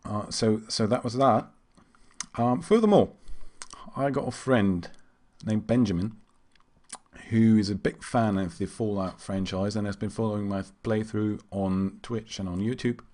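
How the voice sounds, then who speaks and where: Low-voiced man streaming games. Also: measured pace, darkish tone. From Germany. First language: German. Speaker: game streamer. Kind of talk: casual gaming commentary. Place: indoors at a computer.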